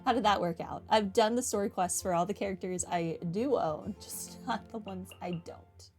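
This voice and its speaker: Chatty woman streamer over soft game music. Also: medium pitch, normal speed. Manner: chatty, self-deprecating, dry humor. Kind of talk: casual playthrough commentary.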